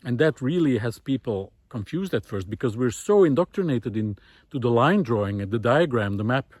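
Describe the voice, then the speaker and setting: A middle-aged man thinking aloud in an informal, reflective monologue, often pausing mid-phrase as he searches for words. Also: medium pitch, animated, a little mellow, no room sound. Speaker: art teacher giving a recorded monologue. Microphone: close recorder carried while walking.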